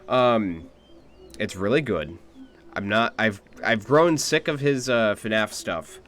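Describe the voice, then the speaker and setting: A young man chatting casually on a game livestream, thinking aloud in a halting way. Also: on the low side, fairly bright tone. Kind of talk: casual stream chat. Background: game music.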